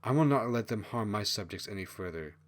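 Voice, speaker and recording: A man's voice: lowish voice, lively intonation, brisk, lightly acted. A man voicing a proud, imperious female fox spirit in an audiobook fantasy story. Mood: haughty, resolute.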